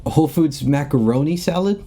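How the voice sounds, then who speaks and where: A man's voice: fairly high pitch, lively intonation, moderate pace, warm tone. A young man playing a naive, polite, earnest suspect in a comedy radio play. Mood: meek, hopeful. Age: young adult. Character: mild, naive, polite nice-guy male suspect.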